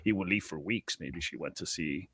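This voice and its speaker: A man's voice: low voice, moderate pace, fairly bright tone, breathy edge, dry recording, studio quality. A man chatting casually and eagerly after a tabletop roleplaying game, recounting how he played. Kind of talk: casual post-game chat.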